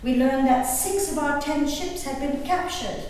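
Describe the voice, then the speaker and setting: A woman performing a historical diary as a resolute, haunted gentlewoman recalling peril at sea, in theatrical, measured phrases with dramatic pauses. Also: high-pitched. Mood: grave, tense. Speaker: stage performer. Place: live reading in a reverberant hall. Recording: distant echoey hall, noisy room recording.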